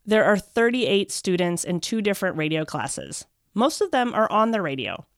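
A woman's voice: medium pitch, big pitch swings, moderate pace, no echo, clean studio sound. A woman reporter reading scripted narration for a radio feature. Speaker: education reporter and podcast host.

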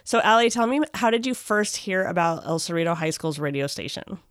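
Woman radio host, smooth clear voice, dry studio sound, calm polished questions. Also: lowish voice, fairly quick, no room sound. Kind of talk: scripted interview hosting.

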